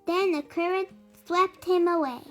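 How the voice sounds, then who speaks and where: A woman reading a children's story aloud in an animated, deliberate storytelling manner. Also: very high voice, faint music behind. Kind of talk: expressive read-aloud narration for children.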